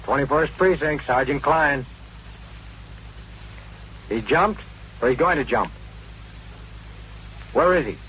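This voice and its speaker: A businesslike police sergeant in an old radio drama. His fairly high voice gives terse questions with slow gaps between them. The recording is dull and faintly noisy. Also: animated, a bit bright. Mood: urgent, official. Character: brisk, businesslike male police desk sergeant. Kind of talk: 1950s radio drama acting.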